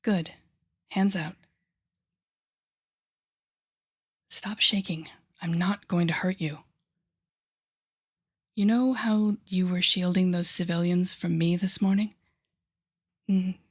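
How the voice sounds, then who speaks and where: A woman playing an intense, coaxing female telepathic villain with a secretly tender side in an intimate audio roleplay, speaking softly and often whispering with deliberate dramatic pauses. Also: on the low side, steady tone, breathy edge. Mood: soothing, coaxing. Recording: background music under the voice.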